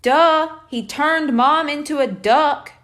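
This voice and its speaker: Woman playing an excitable, high-voiced young boy. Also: slow. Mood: eager. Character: excitable young twin boy, cheeky and eager.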